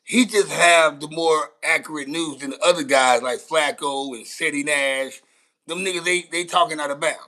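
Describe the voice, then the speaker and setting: An American man with a slightly high voice talks in a casual livestream style. He is blunt and slangy, circling back over his own phrases as he makes his point. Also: moderate pace, sharp tone, rough recording. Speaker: content creator reacting to news clips. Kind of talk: casual livestream commentary on street news.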